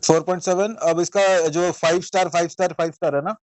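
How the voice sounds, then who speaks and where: भारतीय पुरुष इंटरव्यूअर, थोड़ी ऊँची आवाज़. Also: male voice, high voice, fairly even intonation, fast, no room sound, poor audio. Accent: Indian. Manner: probing, instructive, mixes in English words. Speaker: interviewer and host of a channel. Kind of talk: phone interview, questioning a caller.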